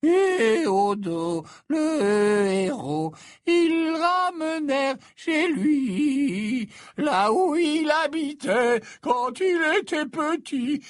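Casting: a plummy-mannered, effusive old wizard for an audiobook, high-pitched, slurred and theatrical. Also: male voice, fairly bright tone, no room sound, clean studio sound, heavily acted. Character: effusive, tipsy old gentleman wizard, greedy and jovial.

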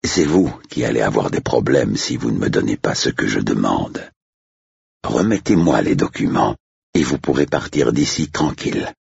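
A man voicing a stern, menacing, coldly determined man in an audiobook story, growling and deliberate. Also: extremely low pitch, expressive, gravelly, darkish tone, slightly rough, no room sound, highs cut off, exaggerated voice. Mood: cold resolve.

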